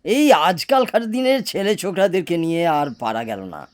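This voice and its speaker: An actor playing a fussy, grumbling middle-aged gentleman in an audio story drama, full of interjections. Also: sits high, sing-song, normal speed. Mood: exasperated.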